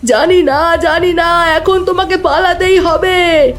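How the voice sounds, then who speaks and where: A woman's voice: extremely high pitch, steady tone, slow pace. A woman playing a devoted, anxious governess in an audio drama, speaking emphatically and with emotion. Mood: terrified, urgent. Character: devoted governess, anxious and protective of her young charge. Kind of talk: dramatised audio story acting. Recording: music behind the voice.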